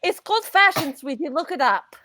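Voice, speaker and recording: A woman's voice: sits high, lively intonation, a little fast, a crowd in the background. A woman voicing a haughty, sardonic cartoon sorceress with a sassy, dismissive manner in a live comic dub.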